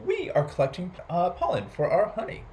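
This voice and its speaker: A storyteller voicing cheeky high-pitched busy bees. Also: huge pitch swings, brisk, warm tone, a little hiss or hum. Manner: cheeky, explaining the obvious. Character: busy, matter-of-fact bees answering back.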